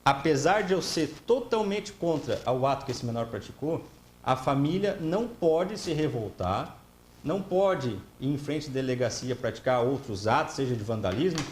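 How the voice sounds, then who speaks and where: A man on a TV panel debate, calmly and methodically explaining legal points. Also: medium pitch, normal speed, roomy sound, slight background noise. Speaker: legal commentator, panel guest.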